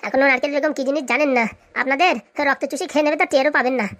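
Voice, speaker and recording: A woman's voice: sits high, steady tone, rapid-fire, fairly bright tone, no room sound. A woman voicing an indignant, sarcastic villager in a comic cartoon, argumentative and long-winded. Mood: defiant. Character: cheeky, indignant villager accused of theft, talking back.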